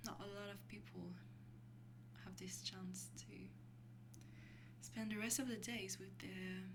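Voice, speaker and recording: A woman playing an affectionate young vampire girlfriend in an ASMR roleplay, speaking softly and intimately in a hesitant, breathy voice that often drops to a whisper. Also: mid-range voice, steady tone, lightly acted. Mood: tender, glad. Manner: slow, hesitant, intimate, with small breathy laughs. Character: affectionate young female vampire girlfriend mentoring a newly turned lover. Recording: clearly noisy recording with hum.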